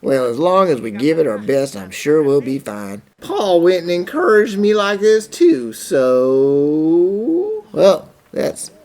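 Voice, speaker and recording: A man voices a stubborn, earnest farmer in a cartoon dub. The voice is creaky and slow, folksy and halting, yet very expressive. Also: a little high, full character voice. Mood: relieved. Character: plucky, stammering farmer Minton, stubborn and earnest. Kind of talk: animated fan dub acting.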